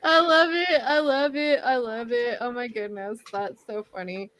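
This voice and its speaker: A woman's voice: fairly high pitch, steady tone, moderate pace, crowd noise. A woman hosting a casual book discussion in an informal, giggly and enthusiastic way. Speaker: discussion host.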